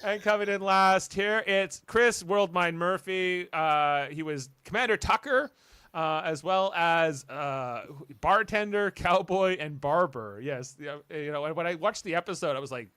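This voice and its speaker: A man's voice: high voice, unhurried, bright, forceful tone, clear, resonant tone, dry recording, studio quality. A man hosting a podcast in casual chat, rambling and hesitant, with a joking way of talking. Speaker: podcast host.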